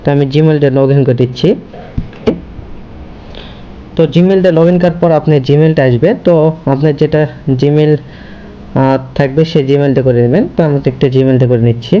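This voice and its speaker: A man's voice: on the high side, fairly even intonation, normal speed, pauses often, highs cut off, a little hiss or hum. A man hosting a casual step-by-step screen tutorial, linking his sentences repetitively with the same connective word. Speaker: tutorial host.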